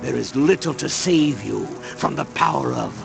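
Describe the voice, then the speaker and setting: An actor playing a scornful villain in a video game, with a gravelly, creaky voice and an ominous, theatrical delivery. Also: male voice, mid-range voice, measured pace. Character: menacing, scornful villain speaking of doom. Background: music.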